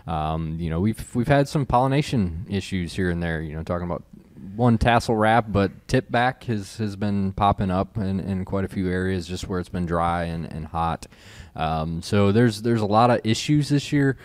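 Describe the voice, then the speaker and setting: A man with a very deep, smooth voice explains crop conditions as an agricultural specialist. He talks in a laid-back way, chuckling and thinking aloud as he goes. The sound is dry and close. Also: moderate pace, no echo. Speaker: extension corn specialist. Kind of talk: casual expert chat.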